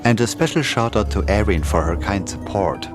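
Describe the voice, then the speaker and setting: Guy doing the podcast intro, friendly and animated, bit of a low voice, music playing. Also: normal speed. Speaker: podcast producer and host. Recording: music bed under voice.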